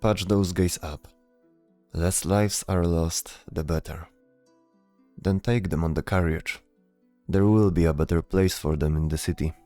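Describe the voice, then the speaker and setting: A man voicing a calm, considerate leading knight in a fantasy audio drama, speaking in a measured, commanding way. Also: sits low, dry recording, soft background music.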